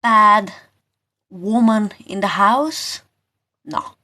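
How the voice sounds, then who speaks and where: A woman hosting a live tarot session, talking informally and haltingly to viewers, often asking questions and repeating her phrases. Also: medium pitch, expressive, bright, forceful tone.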